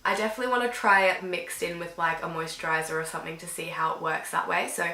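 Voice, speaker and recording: A young woman, sick and slightly croaky and nasal, chatting casually through a makeup tutorial while applying products. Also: lowish voice, fairly even intonation, quick pace, pushed and bright, reverberant. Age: young adult. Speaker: beauty vlogger. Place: dim bedroom with fairy lights, indoors.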